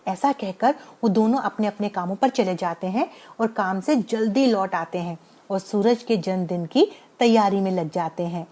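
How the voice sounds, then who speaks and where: A woman's voice: medium pitch, a little mellow. A woman narrating a scripted bedtime moral story as the storyteller.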